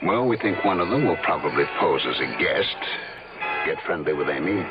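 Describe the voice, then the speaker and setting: A man's voice: deep voice, lively intonation, moderate pace. An American man playing a discreet, urgent, politely insistent detective in an old-time radio drama. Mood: confidential, urgent. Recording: dull old radio recording with music behind.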